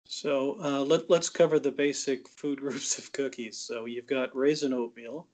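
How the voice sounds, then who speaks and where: A man talking in a measured, easygoing way in a casual chat, laughing easily and often pausing with fillers. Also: mid-range voice, steady tone, a little mellow.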